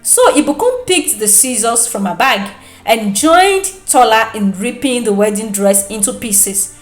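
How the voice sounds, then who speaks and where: A woman with a Nigerian accent narrating a folktale in an expressive, deliberate, dramatic way. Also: a little high, roomy sound, soft background music. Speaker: storyteller, narrator.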